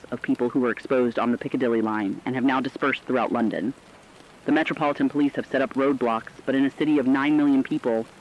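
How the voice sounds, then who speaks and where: Man as somber field correspondent for apocalypse radio drama: mid-pitched, earnest, compassionate, lo-fi sound. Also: close to an everyday voice. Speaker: news correspondent. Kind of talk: scripted radio news commentary. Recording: dull lo-fi radio sound, faint noise.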